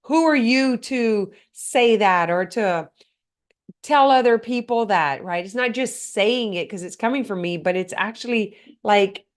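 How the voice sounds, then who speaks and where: A woman hosting a motivational podcast on her own, speaking earnestly and often putting questions straight to the listener, her voice a little hoarse and stuffed up. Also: medium pitch, a little slow. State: slightly hoarse, congested. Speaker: podcast host. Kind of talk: motivational solo talk.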